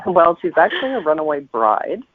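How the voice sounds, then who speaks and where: A man novelist with a gravelly voice, answering questions conversationally as a podcast guest. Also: on the high side, very animated, brisk, background noise. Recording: dry, slightly dull lo-fi audio.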